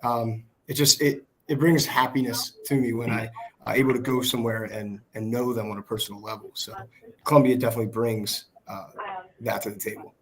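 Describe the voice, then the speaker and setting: A young American man speaks as a guest in a casual conversation. His voice is hoarse, worn from shouting, and sits at a middle pitch. He talks informally. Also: steady tone, brisk, slight background noise, poor audio. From Pennsylvania, United States. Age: 20s. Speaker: guest, small business worker. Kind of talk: casual chat. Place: video call.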